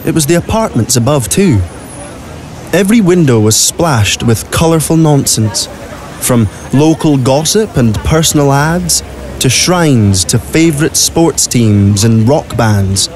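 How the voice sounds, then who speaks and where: A man's voice: medium pitch, expressive, measured pace, a little mellow, slight background noise. A man reading scripted narration for an audio drama.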